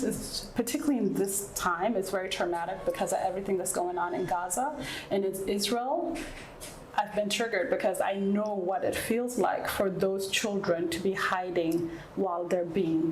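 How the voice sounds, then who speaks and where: A woman's voice: on the low side, echoey room, a little hiss or hum, rough recording. A woman from Ethiopia and South Sudan, whose first language is Nuer, answering interview questions frankly and reflectively, often checking in with "right?". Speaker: guest.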